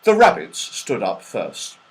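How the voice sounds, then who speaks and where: A man reading a children's story aloud in an expressive, dramatic storytelling manner. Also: fairly low pitch, takes it slowly. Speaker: audiobook reader.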